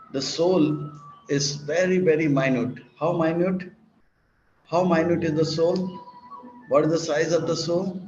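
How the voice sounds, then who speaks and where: A man's voice: fairly high pitch, darkish tone. Man preaching in an echoey hall. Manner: repetitive, list-like emphatic preaching. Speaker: monk and preacher. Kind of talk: sermon with acted dialogue. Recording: roomy, dull lecture recording.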